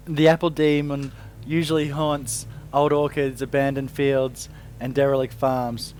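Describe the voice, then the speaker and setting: A man's voice: medium pitch, little pitch movement, unhurried, a little mellow, a little hiss or hum. A man, running a tabletop game and reading out a creature description in a laid-back way. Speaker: game master.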